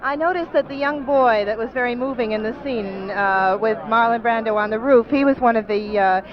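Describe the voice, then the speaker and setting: Woman, high voice, effusive and courteous awards-event radio host on a dull 1950s recording. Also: moderate pace, crowd noise. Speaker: radio interviewer.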